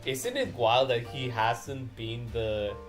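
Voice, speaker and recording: A man streams a casual reaction chat with friends, music playing faintly behind him. His voice sits at a middling pitch, and he talks in a loose, animated storytelling way. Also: takes it slowly. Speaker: streamer hosting a live reaction. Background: background music.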